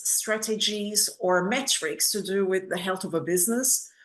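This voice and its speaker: A woman hosting a business spotlight interview, speaking in an effusive, complimentary way with deliberate phrasing. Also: medium pitch, poor audio. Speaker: interview host.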